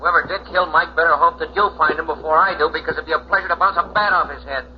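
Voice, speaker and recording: A male actor plays an earnest, slangy team captain, tough and loyal, speaking fast at a slightly high pitch. The old radio sound is dull and faintly humming. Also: steady tone, close to an everyday voice. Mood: earnest, angry. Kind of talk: 1940s radio acting. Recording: muffled 1940s radio recording with hum.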